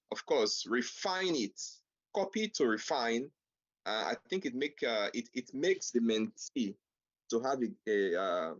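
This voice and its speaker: A man with a mid-pitched voice talks in a halting, stop-start way, filling pauses with "uh" and "okay." The audio is dull and lo-fi, like an online call. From Nigeria. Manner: hesitant, many fillers and restarts, says "okay" often. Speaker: discussion panelist. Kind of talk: casual panel discussion.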